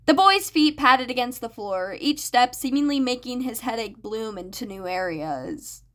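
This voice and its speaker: A woman's voice: a little high, a bit bright. A woman reading a story aloud as a scripted audiobook narration. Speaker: fan fiction narrator.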